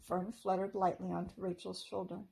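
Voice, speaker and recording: Warm-voiced teacher reading a storybook. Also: female voice, fairly low pitch, little pitch movement, normal speed, gravelly, breathy edge, poor audio. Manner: gentle storytelling. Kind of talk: reading a children's chapter book aloud.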